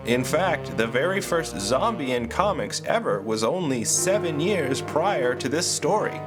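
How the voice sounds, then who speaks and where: A man's voice: on the high side, sing-song, a little slow, over loud music. A man playing a fatherly ghost storyteller, narrating in a theatrical, explanatory way. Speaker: host and narrator. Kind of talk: scripted educational narration.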